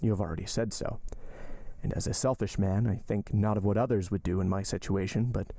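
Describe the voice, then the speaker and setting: A passionate young suitor , played by a male audiobook reader, with a mellow, dark voice. He is formal and measured, holding back bitterness and desperation. The sound is very dry. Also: lowish voice, fairly even intonation, vocal fry, no room sound. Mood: resigned, sorrowful. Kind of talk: audiobook character dialogue.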